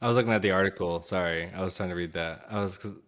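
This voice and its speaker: A man's voice: low voice, rapid-fire, fairly bright tone. A man co-hosting a podcast with casual, joking banter, slangy and profane, riffing and teasing. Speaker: podcast co-host.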